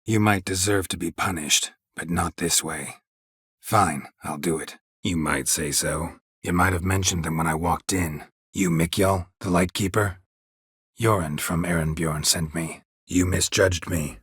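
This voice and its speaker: A gruff, world-weary mercenary monster slayer from a fantasy video game. His voice is very deep and heavily husky, almost all gravel. He speaks in terse, sardonic, deadpan phrases, recorded dry with no room sound. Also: unhurried, no room sound, heavily acted. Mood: matter-of-fact, wry. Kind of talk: video game character dialogue.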